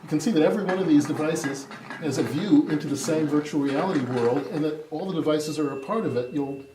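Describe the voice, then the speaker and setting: A man's voice: fairly high pitch, a little fast. Guy doing an off the cuff tech demo, echoey room, lots of noise and clatter, gets sidetracked. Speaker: product demonstrator. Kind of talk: informal live tech demo. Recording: echoey, noisy room, distant mic, clattering.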